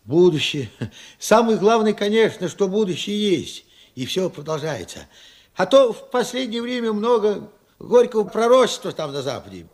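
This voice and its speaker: An actor playing a weary, forgetful old man of seventy-eight in a radio play, halting, wistful and husky. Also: high-pitched, sing-song, a little mellow. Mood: wondering, insistent. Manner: halting, musing, swinging from murmurs to outbursts. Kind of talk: Soviet radio play acting.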